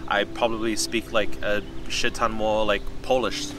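A young man talking casually and reflectively about himself, in a halting, thoughtful way with slangy phrasing. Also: lowish voice, flat delivery. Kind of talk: reflective personal interview, casual speech. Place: outdoors in a city park. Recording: distant mic outdoors, music underneath.

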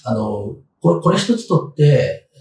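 A man in his sixties with speech explains history as a guest. His delivery is measured and halting, yet the pitch moves a lot. He sits away from the mic in a roomy space with faint noise. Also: big pitch swings, a little mellow, recorded from a distance. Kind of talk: interview answers, explaining history. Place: small indoor room, seated at desk.

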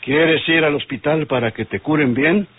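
An actor playing a deliberate, authoritative police inspector in an old radio soap. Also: male voice, a little high, slightly rough. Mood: measured. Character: firm, measured police inspector. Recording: tinny band-limited old radio drama.

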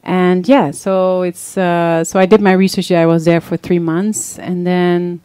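A middle-aged woman from the Netherlands recalling things in casual conversation as a guest, haltingly, repeating words as she goes. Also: lowish voice, level tone, dark tone, clean tone, no room sound. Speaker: talk show guest.

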